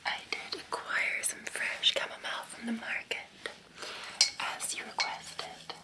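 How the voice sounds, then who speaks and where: A Scottish woman playing a gentle, attentive Victorian housemaid in an ASMR roleplay, in a soft, breathy, soothing whisper with polite deference. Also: unhurried, natural voice. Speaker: ASMR performer.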